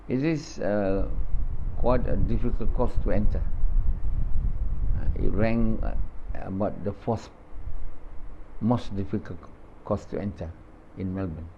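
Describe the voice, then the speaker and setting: An older man from Malaysia, whose first language is Malay, gives a religious talk after dawn prayer in English with a Malaysian accent. He speaks haltingly and repeats words, in a small indoor room. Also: on the low side, mellow, muffled recording, slight background noise. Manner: halting, repeats words, opens with Arabic recitation.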